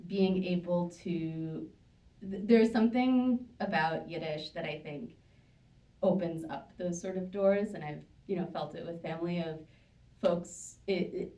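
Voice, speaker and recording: A woman's voice: fairly low pitch, a little slow, dark tone. A woman speaking at a live panel, talking casually with many fillers and restarts. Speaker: panel guest. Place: synagogue hall, live audience. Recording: echoey room, distant mic.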